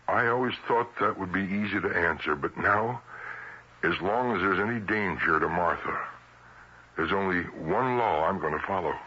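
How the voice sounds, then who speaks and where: Tense, anxious husband in vintage radio drama. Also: male voice, deep voice, pushed and bright, slightly rough, heavily acted. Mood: defiant, pleading. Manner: halting, earnest, agitated. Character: anxious, stubborn ordinary husband protecting his endangered wife. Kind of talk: 1970s radio drama acting. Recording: muffled vintage radio recording, faint hiss.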